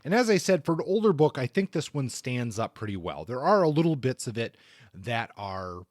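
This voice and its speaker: A man speaks as co-host of a book review podcast. His voice sits at a middle pitch and the sound is dry, with hardly any room around it. The delivery is conversational, in the manner of talk between co-hosts. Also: big pitch swings, normal speed, no echo.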